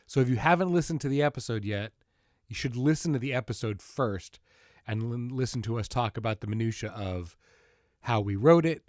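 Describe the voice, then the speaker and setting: A man's voice: mid-range voice, a little mellow, no echo. A man hosting a podcast and talking casually as a series creator, informal, with dry joking asides. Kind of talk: casual podcast introduction and conversation.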